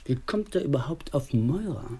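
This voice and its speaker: Dark-toned, husky contract killer. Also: male voice, mid-range voice, big pitch swings, normal speed. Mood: calm menace. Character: cold-blooded male professional killer, coolly polite, menacing. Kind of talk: radio crime drama dialogue.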